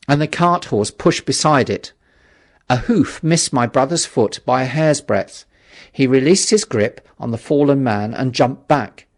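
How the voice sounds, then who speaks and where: A man reading a classic novel as an audiobook narrator, in measured, dramatic storytelling. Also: medium pitch.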